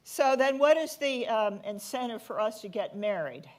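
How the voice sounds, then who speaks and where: A woman's voice: on the high side, animated, moderate pace. Inquisitive woman questioning a panelist. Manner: inquisitive, conversational with fillers. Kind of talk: policy forum discussion. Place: conference hall.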